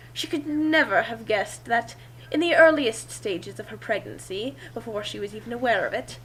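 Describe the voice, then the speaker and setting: High-voiced woman reading aloud, noisy hum. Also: fairly bright tone. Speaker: reader and commentator. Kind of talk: animated story reading with casual asides.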